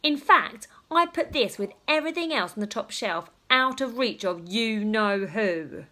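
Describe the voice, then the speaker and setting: A woman's voice: a little high, lively intonation, unhurried, bright, forceful tone. A woman voicing an exasperated father in a children's storybook, indignant and full of protest. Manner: indignant, exclaiming.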